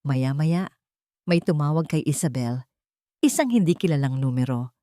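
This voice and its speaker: Low-pitched woman narrating a drama. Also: normal speed, warm tone, no echo, studio quality. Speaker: storyteller, narrator. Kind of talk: scripted dramatic audiobook narration.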